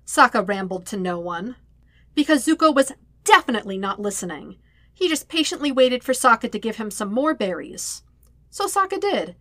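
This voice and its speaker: A woman's voice: a little high, a bit bright, no echo, clean studio sound. A woman reading scripted fiction aloud as a narrator, expressively and conversationally, with wry emphasis. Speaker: podfic narrator.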